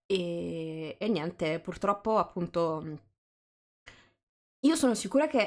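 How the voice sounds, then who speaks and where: A woman's voice: fairly low pitch, expressive, slow pace. A woman hosting a casual livestream chat, wandering through her sentences and circling back on phrases, with the odd English phrase slipped in. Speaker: host of a live visual novel reading.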